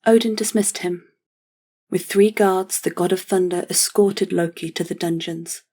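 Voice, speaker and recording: Low, even-toned woman narrating a story. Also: unhurried.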